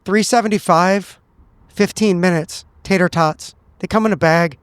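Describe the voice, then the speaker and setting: A man's voice: sits high, moderate pace, clear, resonant tone, dry recording, lightly acted. Wry male special agent, acted by a man at the table, light register, formal, courteous, dry close recording. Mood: dryly mocking.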